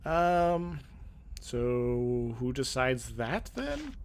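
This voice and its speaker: A dopey young recruit on his first day in a fantasy game, played by a man. He is halting and clueless, his pitch often jumping up as he questions things. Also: big pitch swings, creaky, clean tone, heavily acted. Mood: hesitant.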